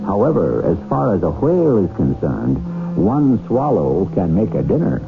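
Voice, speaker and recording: A lowish male voice narrates as host of a 1970s radio drama. He is wry and theatrical, with animated intonation, and music plays behind him. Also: normal speed. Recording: tinny 1970s radio broadcast, music underneath.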